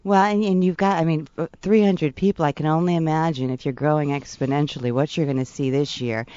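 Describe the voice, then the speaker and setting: A woman hosting a radio show, chatting in a lively, jokey, exclamatory way with a guest. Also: low-pitched, fairly quick, dry recording. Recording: slightly dull lo-fi radio recording.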